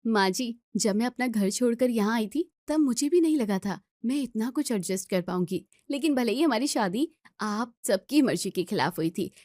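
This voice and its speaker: A woman's voice: on the high side, expressive, moderate pace, darkish tone, no echo, studio quality, close to an everyday voice. A woman voicing a cheerful, dutiful daughter-in-law in her twenties in an audio story, eager, sweet and deferential. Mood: sweet, grateful.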